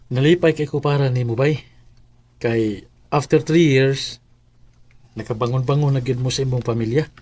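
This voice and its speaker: A man with a Cebuano accent voicing a friend in a radio drama, talking in a soft, apologetic way. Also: medium pitch, normal speed, long pauses, natural voice. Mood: glad. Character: sympathetic male friend. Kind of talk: amateur radio drama acting.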